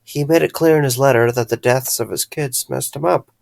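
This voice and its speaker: A man's voice: mid-range voice, brisk, vocal fry, a little mellow, no echo, studio quality, close to an everyday voice. A man playing a caring, dryly wry friend in an audio drama, earnest and a little hesitant. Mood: concerned.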